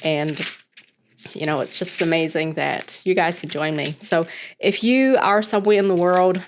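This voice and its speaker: Chatty New Zealand woman, lowish voice. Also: normal speed, slight background noise. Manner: chatty and upbeat, with loose fillers. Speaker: host reading scripture. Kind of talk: casual chat, then scripture reading aloud.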